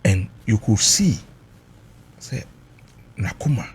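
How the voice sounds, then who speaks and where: A man's voice: fairly low pitch, very animated, slow, darkish tone, breathy edge. A Ghanaian man recounting personal experience emotionally, mixing Twi and English, emphatic and dramatic, repeating phrases for weight.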